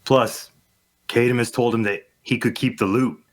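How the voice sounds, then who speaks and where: A man as a gruff, greedy mercenary. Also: mid-range voice, fairly even intonation, moderate pace, close to an everyday voice. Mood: gruff, impatient. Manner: blunt, impatient. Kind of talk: fantasy audio drama acting.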